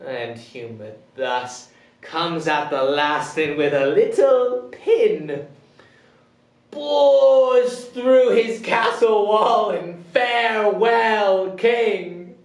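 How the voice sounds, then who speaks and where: A man performing a deposed, bitterly grand king in a theatrical Shakespearean verse monologue, declamatory and drawn-out, swinging between hushed and ringing. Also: high voice. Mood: bitter mockery. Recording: roomy echo, distant microphone.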